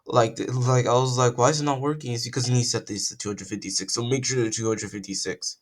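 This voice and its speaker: A young man, croaky from just waking up, talks through a casual coding tutorial at his computer, thinking aloud and correcting himself as he goes. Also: medium pitch, fairly even intonation, fast talker.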